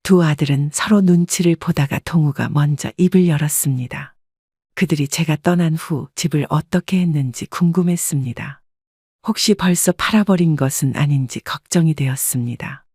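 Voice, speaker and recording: Husky woman narrating a story calmly. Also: low voice, moderate pace, dry recording, studio quality. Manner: calm and reflective. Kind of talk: scripted first-person story narration.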